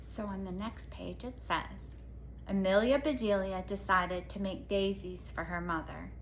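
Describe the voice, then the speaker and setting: A woman's voice: medium pitch, a little slow, a little hiss or hum, poor audio. A woman reading a storybook aloud to children, expressively, with explanatory asides and questions to the listeners.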